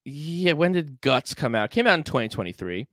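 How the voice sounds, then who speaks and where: A man's voice: a little high, very animated, moderate pace, fairly bright tone, dry recording, studio quality. A man talking casually as a podcast guest, with slangy, sweary phrasing. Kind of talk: casual chat.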